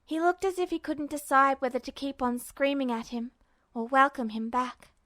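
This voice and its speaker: A woman reading fiction aloud as an expressive, scripted audiobook narration. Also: high voice, normal speed, no echo, clean studio sound. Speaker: fan fiction audiobook reader.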